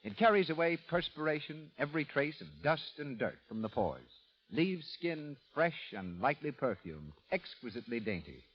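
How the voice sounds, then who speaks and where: A man's voice: on the high side, big pitch swings, normal speed. An American man reading a scripted commercial as a 1930s radio announcer. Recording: muffled, noisy old radio recording.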